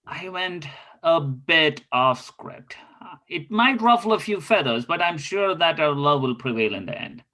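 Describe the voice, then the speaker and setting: A man's voice: a little high, animated, measured pace, pushed and bright. A man playing an earnest thirty-something spy in a scripted table read, speaking in formal, stilted phrases.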